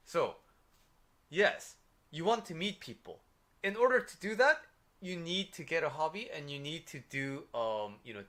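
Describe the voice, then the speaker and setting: A man's voice: on the high side, fairly bright tone. Korean-accented man giving casual advice. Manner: conversational, many fillers and "right?" tags. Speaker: life coach. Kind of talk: casual livestream advice talk.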